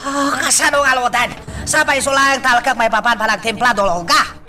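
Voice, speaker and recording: Sharp, impatient crime boss. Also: male voice, very high-pitched, fairly even intonation, moderate pace, soft background music, exaggerated voice. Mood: agitated. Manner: forceful, agitated. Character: impatient male crime boss plotting drug deals. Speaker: radio drama actor. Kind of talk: Ilocano radio drama acting.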